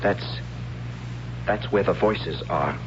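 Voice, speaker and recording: A man's voice: sits low, slow pace. Earnest, awed young tribesman, old radio sound. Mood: tense, curious. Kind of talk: 1970s radio drama acting. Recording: dull, noisy old radio broadcast with hum.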